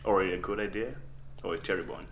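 A young man from Malawi hosting a podcast, chatting in a relaxed way and often agreeing with his guest. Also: deep voice, fast talker, a little hiss or hum. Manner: relaxed, chatty, with frequent affirmations. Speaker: podcast host. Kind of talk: casual interview conversation.

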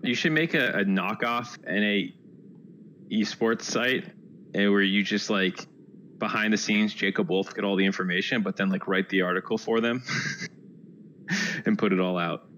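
A man's voice: fairly low pitch, normal speed, long pauses, fairly bright tone. A man co-hosting a call-in show with casual banter. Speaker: co-host who brings on the callers. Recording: noisy live stream audio.

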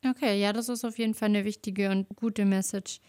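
A woman's voice: medium pitch, fairly even intonation, fairly quick, darkish tone. A woman interviewing a musician in casual German, friendly and laughing often.

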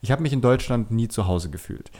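A man's voice: mid-range voice, expressive, brisk, mellow. A man speaking as a podcast guest, chatting casually and candidly about himself in a self-critical way. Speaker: podcast guest, video creator. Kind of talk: casual chat, personal anecdotes.

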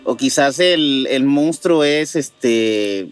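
A man's voice: mid-range voice, animated, very slow, clean tone, faint music behind. A man co-hosting a paranormal news show, reading items aloud and then reflecting casually in hesitant, searching phrases.

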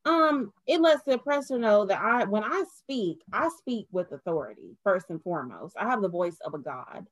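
A young adult woman speaks earnestly at a middle pitch, and her voice sits close and dry against the mic. She talks as a podcast guest in a casual chat. She lifts into animated bursts when she laughs. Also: normal speed, no echo.

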